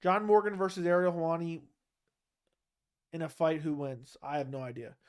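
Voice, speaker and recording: A man with a fairly high-pitched voice talks through a casual livestream while nursing a sore throat. He reads viewer questions aloud and answers them bluntly, often with a flat one-word reply. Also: normal speed, clean tone. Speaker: livestream host answering chat.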